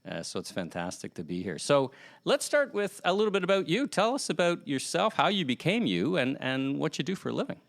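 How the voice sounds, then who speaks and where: A man's voice: fairly high pitch, very animated, fairly quick, gravelly, few pauses. A man hosting a podcast interview, upbeat and conversational, asking long, rambling questions. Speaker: podcast host. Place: office at company headquarters.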